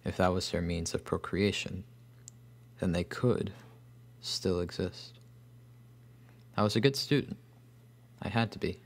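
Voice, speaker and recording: An actor playing a solemn, bookish loner recalling his boyhood, narrating a scripted horror story with an American accent and grave, measured phrasing. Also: deep voice. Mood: sombre. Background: guitar music.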